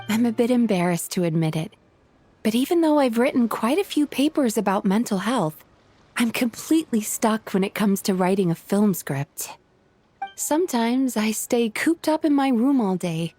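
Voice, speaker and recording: An actress playing a gentle, polite, thoughtful young professional woman in a video game. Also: a little high, close to an everyday voice. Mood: embarrassed. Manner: polite, thoughtful, soft-spoken. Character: gentle, polite young female psychologist and aspiring writer. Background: music.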